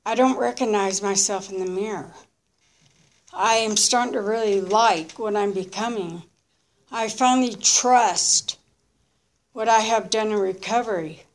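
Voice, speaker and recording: A woman in her early fifties giving a candid, unscripted personal testimony in short, halting, reflective phrases. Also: medium pitch. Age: early 50s. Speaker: recovering alcoholic sharing her story.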